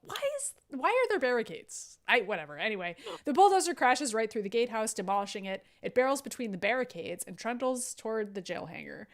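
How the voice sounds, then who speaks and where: A woman's voice: fairly high pitch, big pitch swings, a little fast, few pauses. A woman co-hosting a live table read, reading script aloud and often breaking off to joke and comment.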